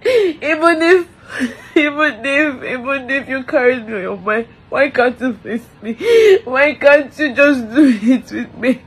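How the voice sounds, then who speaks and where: A woman's voice: high-pitched, measured pace, fairly bright tone, breathy edge. A distraught woman, crying and wailing through an emotional lament, repeating phrases over and over.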